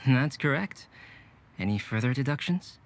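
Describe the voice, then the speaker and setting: Actor as polite, exacting game host-cook, mid-pitched. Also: male voice, animated, normal speed, a little hiss or hum, lightly acted. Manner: polite, precise. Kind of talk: game voice acting.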